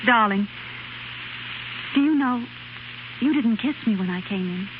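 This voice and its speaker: An actress in a 1930s radio drama playing a tired, devoted young working wife, earnest and gently pleading, on a scratchy, tinny recording. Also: female voice, a little high, lively intonation, unhurried. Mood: tender, hurt.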